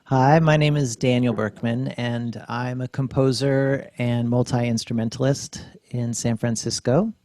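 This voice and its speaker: A man's voice: mid-range voice, steady tone, a little slow, hardly any pauses, darkish tone, dry recording. A man introducing himself as a musician on a group video call, choosing his words carefully. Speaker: composer and multi-instrumentalist.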